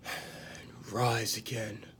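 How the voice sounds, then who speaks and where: A man playing a bitter disgraced knight confessing to killing a mad king, in a halting, dramatic amateur monologue that drops into whispers. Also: lowish voice, little pitch movement, fairly bright tone, a little hiss or hum. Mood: haunted, bitter.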